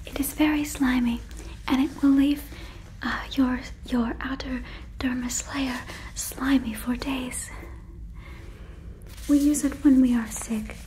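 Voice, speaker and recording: A woman playing a gentle, curious, naive female alien in an ASMR roleplay, in a breathy whisper with stilted, formal, careful phrasing, close on the microphone. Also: sits high, steady tone, takes it slowly, long pauses, a little hiss or hum, poor audio. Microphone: close fluffy microphone.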